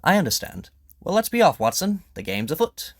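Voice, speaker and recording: A confident, incisive detective acted by a man from an audio drama. He speaks in a raised, very animated voice with a commanding, probing edge. The delivery is theatrical and declarative, recorded close and dry. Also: on the high side, brisk, dry recording, pitched above the performer's own voice. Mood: brisk, decisive.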